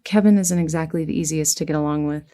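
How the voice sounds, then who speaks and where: A woman's voice: low-pitched, fairly even intonation, quick pace, dark tone, close to an everyday voice. An actress playing a composed, fair-minded female leader of a survivor settlement, orderly and decisive, in an audio drama.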